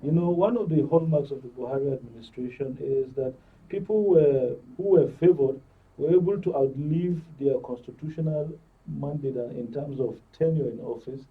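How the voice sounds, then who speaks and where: A man's voice: mid-range voice, moderate pace, slightly rough. A man with a Nigerian accent giving explanatory policy commentary as a panel guest, often repeating words. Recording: thin phone-like sound, distant microphone.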